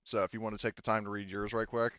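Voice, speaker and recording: A man's voice: lowish voice, little pitch movement, fast, fairly bright tone, clear, resonant tone, no echo, lo-fi sound. A man casually running a tabletop roleplaying game as game master, chatting informally and swearing freely. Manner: informal, profane.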